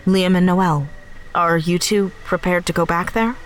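A woman acting in an audio drama as the brisk, careful leader of a covert team. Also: fairly low pitch, steady tone, faint music behind, natural voice. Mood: focused, concerned.

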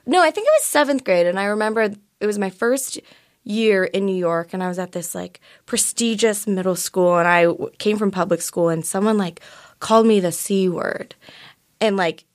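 A woman's voice: medium pitch, normal speed. A young woman born in Vietnam, talking as a guest actress in a casual interview, bubbly and full of fillers and laughs.

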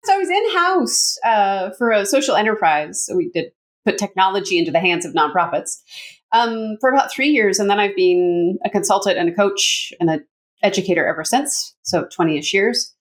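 A middle-aged woman from Canada with a North American accent, telling her story in an animated, chatty way as a coach and educator being interviewed. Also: medium pitch, moderate pace. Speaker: communications coach, consultant and educator, interview guest. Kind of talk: casual conversational interview answers.

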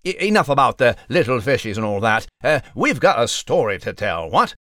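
A man voicing a small, polite English gentleman mouse with a British accent, fussy and genteel, for a children's story. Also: medium pitch, very animated, fairly quick, no echo, studio quality. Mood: flustered, polite. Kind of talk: animated children's audio storytelling.

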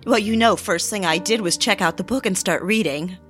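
An actress playing a fragile, eager young mid-century housewife in an audio drama, confiding in a theatrical, genteel way. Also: female voice, medium pitch, brisk, a bit bright, soft background music, natural voice. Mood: excited. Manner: theatrical, confiding, genteel, old-fashioned phrasing. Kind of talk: dramatic scripted audio drama narration and dialogue.

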